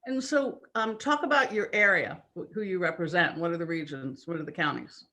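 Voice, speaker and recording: Upbeat male webinar host, high bright voice, chatty delivery over a video call. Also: brisk. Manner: upbeat, thanks sponsors, chatty fillers. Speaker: host of business webinar series. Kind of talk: hosting a live webinar.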